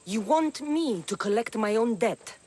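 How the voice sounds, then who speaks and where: A woman's voice: fairly high pitch, expressive, measured pace, darkish tone. A woman playing a tough young mercenary in a video game cutscene, dry, sardonic and demanding. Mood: exasperated.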